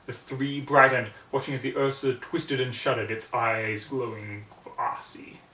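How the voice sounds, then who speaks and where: A man narrates a story in a dramatic, expressive way with halting pauses. His voice sits at a middling pitch, a little away from the mic in an echoey room. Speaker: reader of fan fiction. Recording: roomy, distant mic, background noise.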